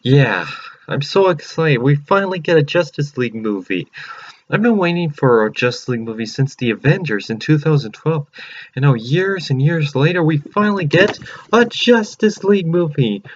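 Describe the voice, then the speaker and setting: A man hosting his own channel, chatting excitedly and rambling through a casual movie review with many fillers and restarts. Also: on the high side, moderate pace, dull audio. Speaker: channel host.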